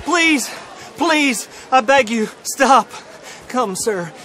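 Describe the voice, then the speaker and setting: A man's voice: extremely high pitch, expressive, extremely slow, sharp tone, raised voice, some chatter behind, heavily acted. An actor playing a nervous, insistent townsman in a Western game cutscene. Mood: pleading. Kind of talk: video game cutscene acting.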